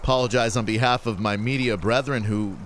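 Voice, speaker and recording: A man's voice: mid-range voice, steady tone, fairly quick, slight background noise, natural voice. Man as a hesitant, bemused radio news interviewer. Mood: earnest. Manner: hesitant, mock-earnest. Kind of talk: comic cartoon interview.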